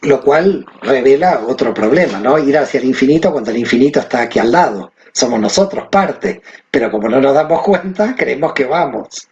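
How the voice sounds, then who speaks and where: Male guest teacher from Argentina, animated and expressive, on a muffled recording. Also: medium pitch, normal speed, few pauses, a little hiss or hum. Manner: animated, rhetorical questions. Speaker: guest, Kabbalah teacher and author. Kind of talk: conversational explanation.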